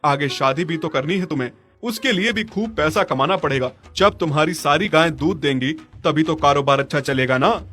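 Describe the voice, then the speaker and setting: A man's voice: on the high side, normal speed, hardly any pauses, bright, forceful tone, soft background music. A man voicing a blunt, practical neighbour giving insistent advice in a cartoon story. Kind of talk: Hindi cartoon story dialogue.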